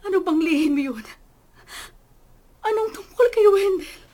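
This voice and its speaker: A woman's voice: very high voice, animated, unhurried, mellow. A woman playing a devoted, distraught mother in a radio drama, emotional and imploring. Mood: shocked. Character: devoted, distraught middle-aged mother defending her son.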